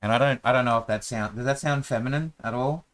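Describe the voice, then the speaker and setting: A man at an ordinary middle pitch chats casually while he works through a map. He rambles and jokes at his own expense in a relaxed, playful way. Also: fast. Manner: playful, rambling, self-mocking. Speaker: host drawing a dungeon map. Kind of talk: casual chat while drawing.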